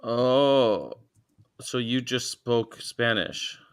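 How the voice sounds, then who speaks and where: A man's voice: mid-range voice, very slow, smooth. A man chatting as a guest on a casual livestream, joking around playfully.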